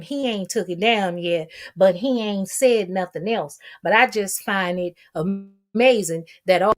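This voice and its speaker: An American woman hosting casual gossip commentary, talking in a sassy, colloquial way and repeating herself for emphasis. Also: mid-range voice. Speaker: commentary vlog host.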